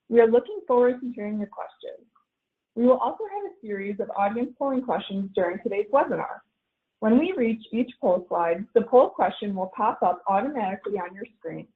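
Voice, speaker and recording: Lady host reading a webinar intro script, formal, tinny phone sound. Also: mid-range voice. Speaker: webinar moderator. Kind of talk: scripted announcements. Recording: phone-line audio.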